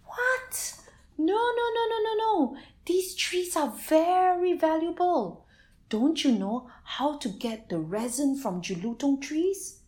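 A woman voicing a shocked village woman who insists with shrill, repeated exclamations. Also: darkish tone.

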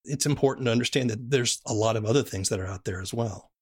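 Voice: medium pitch, fast talker, slightly rough. An interviewer hosting a conversational podcast, asking questions and chatting.

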